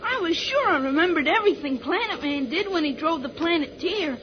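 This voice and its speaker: A very high-voiced plucky boy. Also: expressive, brisk. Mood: determined, unsure. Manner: eager, boyish. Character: plucky, reassuring boy piloting a spaceship. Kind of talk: old-time radio serial acting. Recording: dull, noisy old radio recording.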